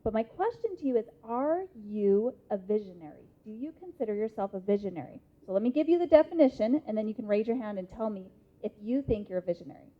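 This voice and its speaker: Motivational speaker, female, 30s, American, slightly high pitch, deliberate and emphatic, muffled phone-like hall sound. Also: lively intonation. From Idaho, United States. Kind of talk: interactive motivational talk to a live audience. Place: conference hall. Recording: muffled lo-fi live hall recording.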